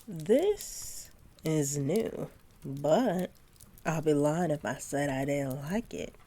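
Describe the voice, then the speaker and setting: A woman playing a sweet, doting centaur girlfriend in an intimate roleplay audio, speaking softly and affectionately to her partner with gentle teasing. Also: sits low, animated, slow, exaggerated voice. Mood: pleased, shy.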